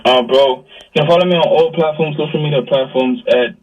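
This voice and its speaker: A man with a slightly husky voice, a musician guest chatting casually in hyped slang. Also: on the high side, fairly quick, darkish tone. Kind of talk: casual chat. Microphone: video call. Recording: distant, lo-fi video call.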